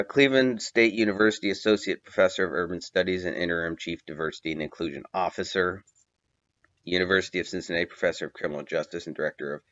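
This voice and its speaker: Low, halting man with a muffled mic. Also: a bit bright, dry recording. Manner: halting, many fillers, listing at length. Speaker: commentator. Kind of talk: unscripted video essay narration.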